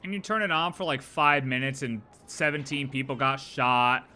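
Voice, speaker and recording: Bright-voiced, excitable game streamer. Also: medium pitch, normal speed, faint music behind. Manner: excitable, sarcastic, joking. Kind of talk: live game reaction stream commentary.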